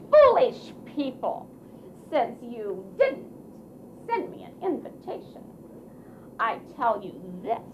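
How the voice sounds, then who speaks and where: Wicked puppet-show fairy, very high, spiteful, theatrical, scolding, menacing, slightly noisy recording. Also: female voice, exaggerated voice. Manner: theatrical, scolding, drawn-out threats. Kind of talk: live children's puppet show.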